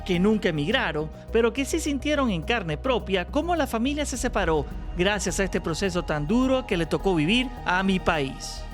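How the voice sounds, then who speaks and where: A man from Venezuela narrating a travel vlog and chatting with people on his home street. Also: high-pitched. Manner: friendly, chatting with locals. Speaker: vlogger and interviewer. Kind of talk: scripted narration and street chat. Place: outdoors in a neighborhood street.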